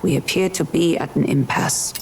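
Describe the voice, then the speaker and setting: A woman playing a grave, composed strategist in a video game, speaking formally in measured, archaic phrases. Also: low-pitched, a little mellow, breathy edge, exaggerated voice. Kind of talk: dramatic video game voice acting.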